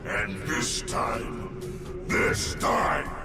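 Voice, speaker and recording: Cavernously echoing evil mask spirit, gravelly, booming, tyrannical and furious, very slow theatrical menace, video game villain. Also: male voice, huge pitch swings, far from the mic, heavily acted. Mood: menacing, impatient. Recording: very echoey, loud music behind.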